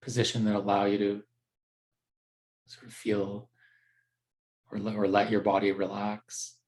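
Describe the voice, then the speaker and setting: A man teaching a guided meditation in a gentle, unhurried, conversational way with halting pauses. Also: on the low side, monotone.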